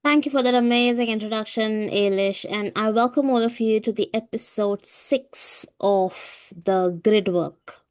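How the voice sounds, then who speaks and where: Woman podcast co-host, chatty spiritual explainer, medium pitch, keeps checking in with the listener. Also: unhurried, few pauses, a little mellow, clear, resonant tone. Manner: explanatory, often asking "right?" for agreement. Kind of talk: conversational spiritual talk.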